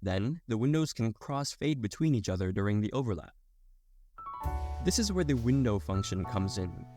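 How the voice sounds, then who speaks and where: A man's voice: fairly low pitch, very animated, moderate pace, over music. A young adult man reading a scripted technical explainer as a narrator.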